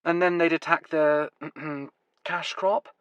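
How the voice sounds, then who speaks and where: A man's voice: fairly high pitch, fairly even intonation, takes it slowly, fairly bright tone, dry recording, muffled recording, voice pushed up. A man voicing a sardonic woman who speaks in a dry, mock-formal, teasing way. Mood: wry.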